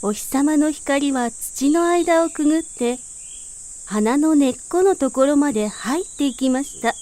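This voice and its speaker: A woman storyteller reads a children's fairy tale. Her voice sits very high, and she delivers the narration as a scripted picture story for small listeners. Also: measured pace, dark tone.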